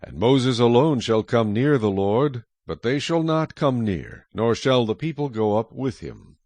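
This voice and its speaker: A man narrating scripture in a measured, solemn, scripted style for a dramatized audio Bible. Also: medium pitch, very animated, darkish tone, dry recording.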